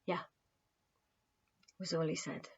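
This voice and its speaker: A woman reading her novel aloud as an expressive, measured audiobook narrator. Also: medium pitch, highs cut off. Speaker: author reading own novel.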